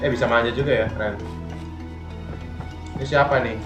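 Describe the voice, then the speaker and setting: A man's voice: medium pitch, steady tone, fast talker, highs cut off. A man with an Indonesian accent giving casual live gameplay commentary as a streamer. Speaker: game streamer. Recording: echoey room over game music. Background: game music.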